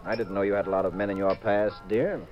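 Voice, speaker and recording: An actor playing a skeptical, teasing, self-satisfied detective husband in a 1950s radio comedy-mystery, brisk and sardonic. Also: male voice, lowish voice, fairly even intonation, close to an everyday voice. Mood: teasing, needling. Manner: brisk, sardonic, playfully needling. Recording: dull 1950s radio recording, faint music.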